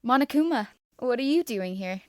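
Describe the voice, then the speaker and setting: A woman's voice: a little high, lively intonation, normal speed, no echo, clean studio sound, pitched above the performer's own voice, close to an everyday voice. A woman voicing a cheeky teenage boy prankster with a playful, mocking, teasing manner, in an anime fan story.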